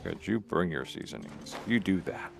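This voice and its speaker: A man's voice: low voice, wildly swooping pitch, normal speed, vocal fry. A man hosting a livestream, casually commentating and half talking to himself as he plays a video game. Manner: rambling, talks to himself while playing. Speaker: livestream host. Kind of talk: casual gaming stream commentary. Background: game music.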